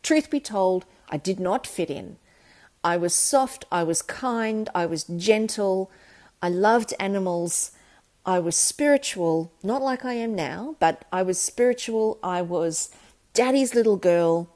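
A woman's voice: medium pitch, expressive, measured pace, darkish tone. A woman from South Africa hosting a podcast, telling her life story in a candid, reflective and earnest way. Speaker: podcast host. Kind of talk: personal storytelling monologue.

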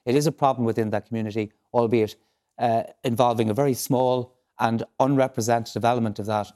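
An Irish man, a political journalist, analysing events in a measured way on a radio panel. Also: mid-range voice, fairly even intonation, a little mellow. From Ireland. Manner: measured, analytical, with frequent restarts.

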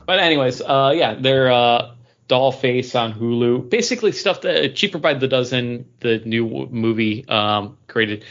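A man's voice: medium pitch, expressive, a little slow, a bit bright, lo-fi sound. A man co-hosting a podcast, chatting casually about pop culture. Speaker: podcast co-host.

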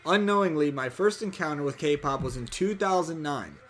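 A man co-hosting a casual podcast, reading listener messages aloud with upbeat shout-outs and easy laughter. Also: a little high, lively intonation, normal speed. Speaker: podcast co-host.

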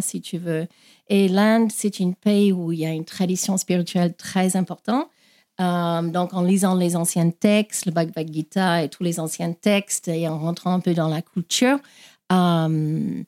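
A woman's voice: mid-range voice, a little mellow. A woman in her fifties, born in Ireland and raised in Australia, speaking French as a second language with many hesitations as an interview guest. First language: English. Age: 50s. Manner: hesitant, restarts often, many fillers. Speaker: guest therapist. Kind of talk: casual interview.

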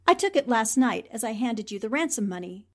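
A woman acting a sharp, confident newspaperwoman in 1940s radio drama style, crisp and confrontational. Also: fairly high pitch, big pitch swings, a little fast. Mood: confident, pointed.

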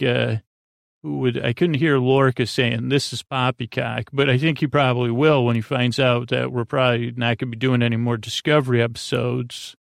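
Creaky, monotone man rambling softly to lull. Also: medium pitch, no echo, studio quality. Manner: meandering, halting, hesitant, self-deprecating; lulling, soothing. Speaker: podcast host. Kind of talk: rambling bedtime podcast monologue.

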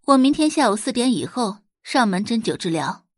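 A woman narrator puts on a cool, self-possessed young female healer in an audio drama. The delivery is polite, refined and matter-of-fact, in a soft-grained husky voice with a close, dry sound. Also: a little high, big pitch swings, moderate pace, no echo. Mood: calm, instructing. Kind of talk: Chinese audiobook drama dialogue.

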